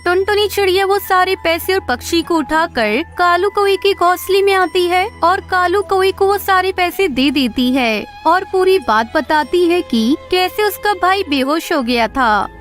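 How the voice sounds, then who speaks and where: A woman's voice: very high voice, expressive, a little slow, hardly any pauses, a bit bright, soft background music. A woman narrator reading a scripted story for children.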